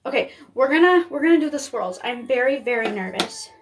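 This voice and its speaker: A young woman from Maine talks through a live stream in a loose, rambling way, peppered with fillers. Her voice sits a little high. She sounds like she is chatting from home into a phone. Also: lively intonation, fairly quick, background noise. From Maine, United States. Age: 20s. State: pregnant. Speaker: makeup artist streaming live. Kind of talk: casual live-stream chat.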